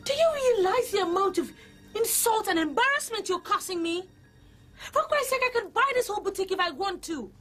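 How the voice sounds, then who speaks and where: A haughty, very high-voiced rich girl. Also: animated, fairly quick, a bit bright, soft background music. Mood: indignant. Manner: indignant, scolding, theatrical. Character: haughty rich young woman, senator's daughter. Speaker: wealthy customer in a boutique.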